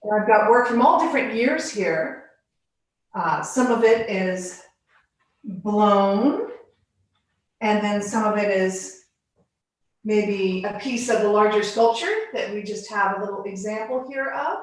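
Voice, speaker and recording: A woman from the Bahamas and Virgin Islands, a glass artist giving a casual guided tour in an informal, halting way with pauses between phrases. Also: mid-range voice. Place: living room of a home studio. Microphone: phone on a selfie stick. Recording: echoey room, live video stream.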